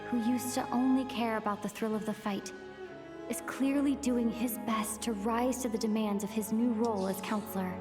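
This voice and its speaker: A woman voicing a gentle, tactful female companion in an anime game. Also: a little high, steady tone, moderate pace, lightly acted. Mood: admiring. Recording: music behind the voice.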